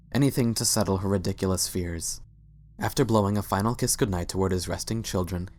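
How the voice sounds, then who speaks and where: A man's voice: low voice, a little hiss or hum. A man narrating a scripted story as a dramatic reading.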